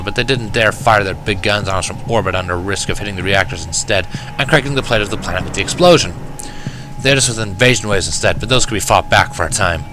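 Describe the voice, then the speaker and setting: A man's voice: fairly low pitch, poor audio. A man reading first-person fiction as a dramatic narration, with a wry, sardonic delivery. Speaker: fan fiction reader. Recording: music behind the voice. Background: music.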